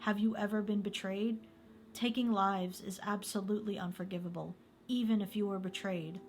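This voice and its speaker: A woman's voice: mid-range voice, fairly even intonation, moderate pace, soft background music. Woman narrating a true-crime story. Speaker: video essay narrator.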